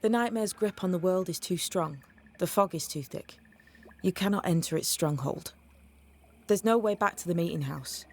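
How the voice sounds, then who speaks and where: A woman playing a blunt, sly young witch's novice in a video game, wry and teasing in a deadpan way. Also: on the low side, moderate pace. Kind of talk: video game cutscene acting.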